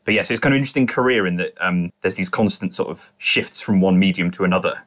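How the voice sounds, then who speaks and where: A man on a discussion podcast, talking casually and tentatively with plenty of soft qualifiers. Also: deep voice, quick pace. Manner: tentative, hedging with kind of and sort of. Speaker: podcast panellist.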